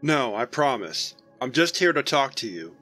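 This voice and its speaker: A man playing a gentle, concerned agent questioning a child in a horror audio drama. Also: medium pitch, sing-song, moderate pace, faint music behind.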